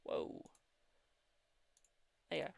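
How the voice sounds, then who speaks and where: A man's voice: slow pace, no room sound. A man hosting a live-stream tarot reading, answering viewers' questions in a chatty, reassuring way and calling them "my darling".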